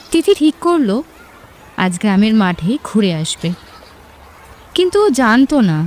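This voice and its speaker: A woman's voice: mid-range voice, darkish tone, a little hiss or hum. A woman narrator reading a scripted romantic story. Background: music.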